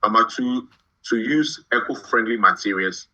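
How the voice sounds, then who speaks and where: Young guy from ghana, stops n restarts a lot, bright forceful voice, kinda dull lo-fi sound. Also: medium pitch. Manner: halting, repeats words while thinking. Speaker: guest, shoe brand founder. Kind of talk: podcast interview.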